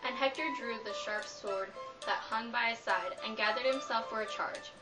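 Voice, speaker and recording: A woman's voice: on the high side, normal speed. A woman narrating a scripted story as a storyteller, on a lo-fi recording. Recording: dull lo-fi sound, music behind.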